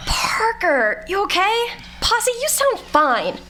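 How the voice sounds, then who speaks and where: A woman playing a snarky, brisk scientist in an audio drama, with a dry, instructing manner. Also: very high-pitched, sing-song, noisy background, full character voice. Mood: practical, brisk. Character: snarky, brisk female scientist exploring a planet.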